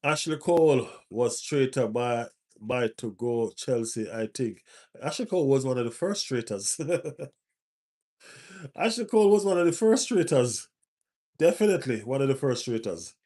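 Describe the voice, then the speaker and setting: A man's voice: mid-range voice, darkish tone. Stuffy-nosed man chatting on a live stream. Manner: repeats phrases for emphasis. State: stuffy nose. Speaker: football fan streamer. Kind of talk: casual live stream chat.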